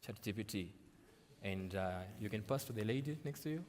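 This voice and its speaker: A man moderating a live panel in a conference hall, lively as he calls on audience members. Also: lowish voice, fairly quick. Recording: echoey hall, faint crowd noise.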